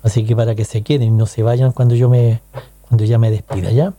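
Dark-voiced Chilean man hosting radio. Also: mid-range voice, level tone, a little fast, no echo. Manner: chatty, rhetorical questions, frequent "ya?" tags. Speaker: radio host. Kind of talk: casual radio talk to listeners.

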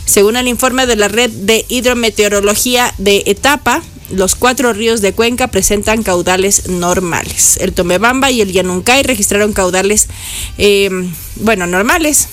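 A woman's voice: on the high side, fairly bright tone. Chatty woman radio host. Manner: playful, teasing asides between news items. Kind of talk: chatty news commentary.

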